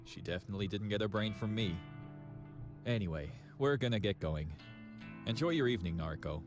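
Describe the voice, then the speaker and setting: A man voicing a genial, polite father in a visual novel, soft and easygoing. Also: on the low side, animated, a little fast, voice pushed down, natural voice. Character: genial low-voiced father, self-deprecating and polite. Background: guitar music.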